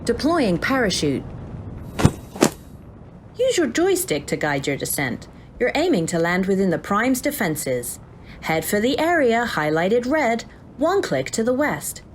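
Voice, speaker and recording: An actress playing a calm, detached female game AI, giving clipped instructions and warnings in a video game parody drama. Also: fairly high pitch, measured pace, slight background noise. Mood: calm, instructive. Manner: flat, clipped announcements and instructions.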